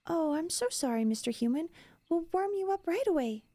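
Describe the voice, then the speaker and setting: A woman playing an eager, curious young elf researcher in an ASMR roleplay, soft-spoken and often dropping into whispers. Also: very high-pitched, lively intonation, brisk, dry recording, clean studio sound. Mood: cosy, reassuring.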